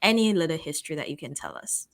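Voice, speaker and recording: A woman from Guyana hosting a casual interview, chatty and quick to laugh. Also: low voice, animated, a bit bright. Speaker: host.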